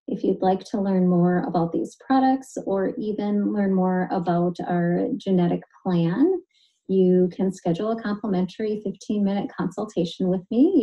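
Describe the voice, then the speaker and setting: A woman nutritionist explaining a health topic in a clear, steady, scripted way. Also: mid-range voice, lo-fi sound. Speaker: nutritionist and genetic specialist.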